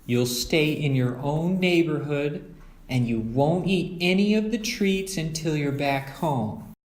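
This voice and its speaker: Man voicing a calm motherly bear, pitched up. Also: slow, reverberant. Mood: encouraging, firm. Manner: gently firm, explaining. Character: motherly adult female bear, calm and reassuring.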